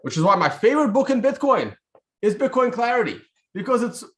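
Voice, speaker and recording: A man's voice: very high-pitched, sing-song, fairly quick. A man in his thirties whose first language is Russian, rambling off the cuff with many fillers and restarts while hosting a casual trading stream over a webcam video call. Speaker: trading show host. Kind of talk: casual live-stream chat. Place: home studio room, indoors.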